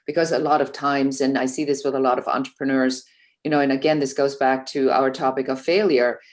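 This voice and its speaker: A woman's voice: a bass voice, steady tone, fairly quick. A woman who is a business coach, talking as an interview guest in casual chat, fluent and confident with frequent "you know" fillers.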